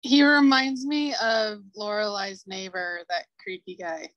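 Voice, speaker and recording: A woman's voice: medium pitch, animated, unhurried, a bit bright, no echo, lo-fi sound. A woman podcast panelist casually reacting to a TV show in conversation.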